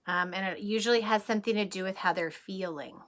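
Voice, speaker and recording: A woman's voice: medium pitch, fast, fairly bright tone. A woman teacher reading a picture book aloud to young children in a playful way, with chatty asides and questions.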